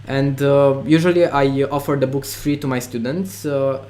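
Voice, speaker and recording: A man with a Romanian accent talks in an interview, pausing often with fillers and restarting his sentences. English is not his first language, and his voice sits at an ordinary middle pitch for a man. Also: fairly even intonation, warm tone. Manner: hesitant, many fillers and restarts. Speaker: online course creator. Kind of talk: interview conversation.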